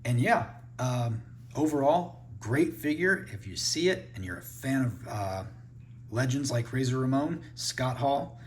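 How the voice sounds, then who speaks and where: A man's voice: mid-range voice, lively intonation, a little slow, slight background noise. A man giving a casual unboxing review, thinking aloud as he handles the toys. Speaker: toy reviewer.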